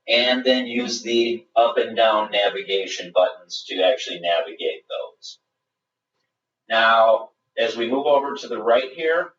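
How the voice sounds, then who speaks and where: Male product presenter, methodical walkthrough delivery, mid pitch, dull webinar sound with room echo. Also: slight background noise. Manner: methodical, explanatory. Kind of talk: live webinar product demonstration. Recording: roomy, distant, dull webinar audio.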